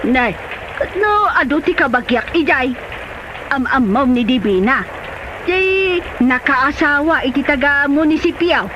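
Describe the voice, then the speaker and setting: Woman playing a high-voiced, chatty Ilocano auntie. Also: animated, measured pace. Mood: inquisitive, chatty. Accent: Filipino Ilocano. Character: chatty, meddling, well-meaning mother and auntie. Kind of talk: comedy drama acting. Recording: dull, noisy location sound.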